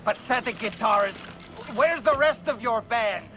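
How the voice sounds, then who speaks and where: A little puppet sidekick from a video game, indignant and feisty. The voice is extremely high, with clear noise around it. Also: male voice, moderate pace. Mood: indignant, scornful. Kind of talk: video game cutscene acting.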